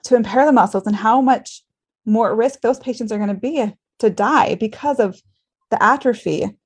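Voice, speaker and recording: A woman's voice: on the high side, moderate pace, breathy edge. A woman hosting a medical podcast conversation, speaking earnestly and emphatically, often posing rhetorical questions. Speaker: podcast host and former travel nurse.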